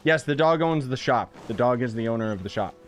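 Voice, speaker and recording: A young man doing casual live game commentary in an excitable, playful, slangy way. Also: medium pitch, fairly quick. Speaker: game streamer. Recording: game music behind the voice. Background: game music.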